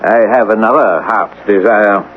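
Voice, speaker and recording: An actor playing a greedy, bullying army captain with a gruff, sneering manner in a radio drama. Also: male voice, on the low side, normal speed, close to an everyday voice. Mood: greedy, wary. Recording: noisy, narrow-band old radio recording.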